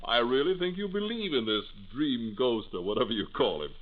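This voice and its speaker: Man as cocky young suitor, radio play. Medium pitch, highly expressive, tinny vintage recording. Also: fairly quick, close to an everyday voice. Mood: teasing, incredulous. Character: confident, teasing young painting student suitor. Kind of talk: 1940s radio drama acting. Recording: thin, band-limited old radio recording.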